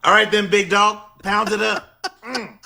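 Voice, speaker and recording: Bright-voiced man, relaxed podcast co-host. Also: high voice, measured pace, vocal fry, slight background noise. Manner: relaxed, short replies. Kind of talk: casual chat.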